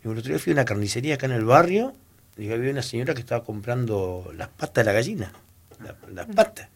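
Raspy, lively male host. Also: medium pitch, racing. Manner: retells stories with repeated "digo". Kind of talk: casual chat.

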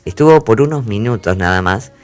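A man's voice: lowish voice, animated, faint music behind. A man hosting a radio talk show, telling news stories in a playful way full of asides. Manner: playful, drawn-out storytelling with asides. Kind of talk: chatty news segment.

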